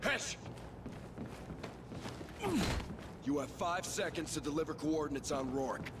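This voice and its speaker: An actor playing a forceful squad leader in a military video game, barking urgent demands and commands. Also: male voice, a little high, normal speed, background noise, close to an everyday voice. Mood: threatening.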